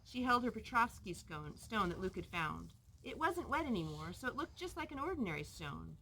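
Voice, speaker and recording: A woman reading a children's story aloud in an expressive way, putting questions to her young listeners. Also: mid-range voice, normal speed, creaky, slightly rough, rough recording.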